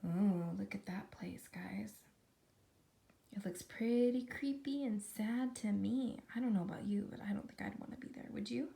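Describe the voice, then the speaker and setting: A woman reading a story aloud to young children, expressive, with dramatic pauses, questions to the listeners and a breathy voice that often drops toward a whisper. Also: medium pitch, a little hiss or hum. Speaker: preschool teacher reading a picture book.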